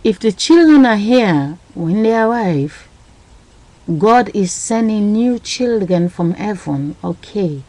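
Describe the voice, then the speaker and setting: Agitated rambling woman, lively pitch. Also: low-quality recording. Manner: agitated, repetitive, non-native grammar. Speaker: webcam vlogger. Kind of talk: rambling monologue. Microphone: built-in webcam mic.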